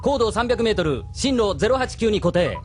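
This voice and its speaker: A dutiful young crewman with a very high voice for a man and a lively range. It is audio drama acting over faint music. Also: normal speed. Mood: crisp, dutiful. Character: dutiful young crew member reporting to captain.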